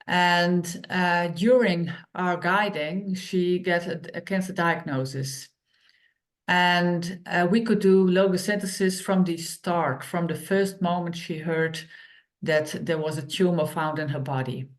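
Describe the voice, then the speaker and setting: A woman, co-hosting an online workshop and telling stories in plain, unhurried phrases with many pauses. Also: fairly low pitch, fairly even intonation. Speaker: workshop co-host and author.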